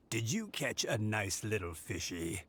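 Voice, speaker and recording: A man voicing a frail, kindly, good-humoured old grandfather in a video game, with a creaky, halting delivery. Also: lowish voice, sing-song, fairly bright tone, heavily acted. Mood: amused, indulgent. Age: older.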